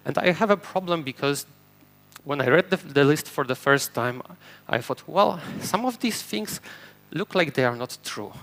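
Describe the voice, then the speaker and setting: A man's voice: fairly high pitch, lively intonation, moderate pace, a bit bright, rough recording. Polish-accented man giving a technical talk. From Poland. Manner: explanatory, frequent fillers and restarts. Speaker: conference speaker. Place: conference stage before an audience.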